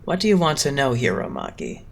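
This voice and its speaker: A man acting a poised, condescending young idol leader in an anime-style dub, measured and theatrical. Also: mid-range voice, very animated, vocal fry, fairly bright tone, heavily acted. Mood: lofty, patient.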